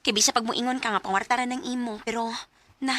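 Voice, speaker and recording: A woman's voice: a little high, fairly even intonation, fast, a bit bright, no echo, natural voice. An actress with a Cebuano Filipino accent playing a concerned, nosy and chatty female friend in a radio drama. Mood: cautioning. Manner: chatty, questioning. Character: concerned, nosy girlfriend confidante, cautioning and chatty. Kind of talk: Bisaya radio drama acting.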